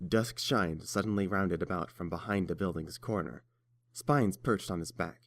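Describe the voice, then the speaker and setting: A man's voice: low voice, normal speed. A man reading scripted story narration as the narrator of a fan fiction tale.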